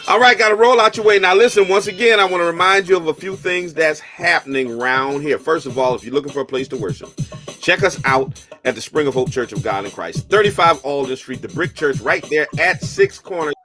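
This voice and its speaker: A man's voice: fairly high pitch, pushed and bright, faint music behind. A man hosting a casual radio talk show, joking with his co-host and reading church announcements in a loose, playful way. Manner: loose, joking, drawn-out sign-offs.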